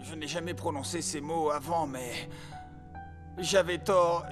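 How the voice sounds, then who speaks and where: Man voicing a slow, plaintive star footballer. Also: on the high side, music in the background, close to an everyday voice. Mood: dejected. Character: melodramatic, self-pitying star footballer. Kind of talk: French animated series dub.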